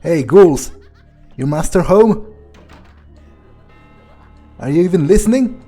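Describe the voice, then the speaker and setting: Crude, cocky male vampire played by a man for game roleplay: slow, dark-toned, profane muttering over faint music. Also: on the high side, lively intonation, slightly rough, exaggerated voice. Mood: confrontational. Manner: profane, muttering asides to himself. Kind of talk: improvised in-character game playthrough.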